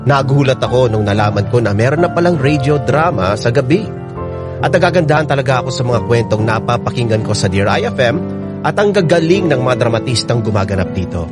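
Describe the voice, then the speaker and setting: A man narrates a listener's letter in a dramatic scripted style for radio. His mid-pitched voice moves expressively up and down. A prominent music bed plays loudly beneath him. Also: lively intonation, fairly quick. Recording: loud music bed under the voice. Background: loud music.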